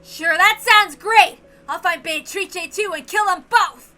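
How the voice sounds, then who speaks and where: Woman acting out a hot-headed young man, anguished, foul-mouthed, high-pitched, sharp and forceful, visual novel drama. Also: a little slow, exaggerated voice. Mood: furious. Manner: forceful, blunt, shouting.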